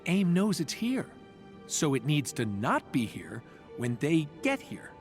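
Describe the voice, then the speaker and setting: An actor playing a cocky, wisecracking superhero inventor in a video game, with an American accent and a glib, casual delivery. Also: male voice, fairly high pitch, wildly swooping pitch, unhurried, soft background music, heavily acted. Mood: brisk, playful.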